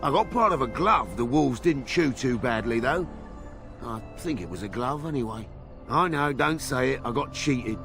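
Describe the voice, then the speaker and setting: An actor playing a hapless, defensive scavenger in a video game, rambling and griping in a colloquial way. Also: male voice, medium pitch, animated, normal speed, music in the background, natural voice. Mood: nervous, grumbling. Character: shifty, grumbling male scavenger, hapless and defensive. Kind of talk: video game character dialogue.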